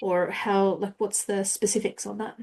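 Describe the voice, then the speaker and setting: A woman's voice: medium pitch, flat delivery, normal speed, vocal fry, warm tone. A woman hosting a podcast, chatty and enthusiastic, with many casual fillers. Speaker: podcast host. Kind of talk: casual interview conversation.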